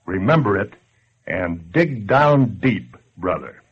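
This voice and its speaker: A plain-talking American regular guy in a vintage 1940s radio appeal. He is folksy and blunt, with a fairly low, rough-grained voice on a dull old recording. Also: expressive, takes it slowly, exaggerated voice. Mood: frank, urging. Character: folksy plain-talking man scolding his neighbours amiably.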